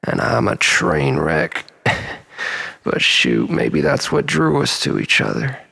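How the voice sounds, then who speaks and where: An actor playing a troubled, obsessive man pleading with his lost lover in a halting, rambling dramatic monologue, often dropping near a whisper. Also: on the low side, wildly swooping pitch, vocal fry, pushed and bright, slightly rough, heavily acted. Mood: giddy, hopeful. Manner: halting, rambling, nervous self-corrections and sighs.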